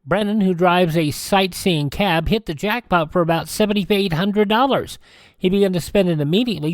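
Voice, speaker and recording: A man's voice: fairly high pitch, dry recording. An American man reading scripted news and show introductions as a radio host in a studio. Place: close, dry studio recording.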